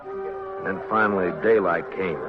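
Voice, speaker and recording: An actor playing a world-weary, commanding marshal with a terse, measured manner in a radio western. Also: male voice, deep voice, huge pitch swings, exaggerated voice. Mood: grim, narrating. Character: stern, world-weary male frontier marshal, calmly commanding. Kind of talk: 1950s radio western acting and narration. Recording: muffled 1950s radio recording with music.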